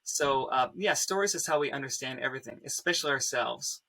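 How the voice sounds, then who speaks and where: A man's voice: mid-range voice, fairly quick, gravelly, sharp tone. A man on a discussion panel, thinking aloud thoughtfully and posing rhetorical questions. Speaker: storyteller panelist.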